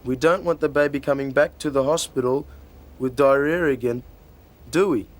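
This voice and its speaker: Educational film role: a man as a stern hospital doctor, lecturing and patronising, mid-pitched. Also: moderate pace, lightly acted. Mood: instructing. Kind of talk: acted educational film dialogue.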